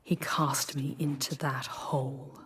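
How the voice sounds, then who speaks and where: A woman voicing an ancient, proud, wounded female demon who guides a traveler through hell in an ASMR roleplay, speaking softly and formally in a breathy, solemn, deliberate way. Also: extremely low pitch, little pitch movement, slight background noise, full character voice. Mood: bitter, hushed. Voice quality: soft, breathy, husky.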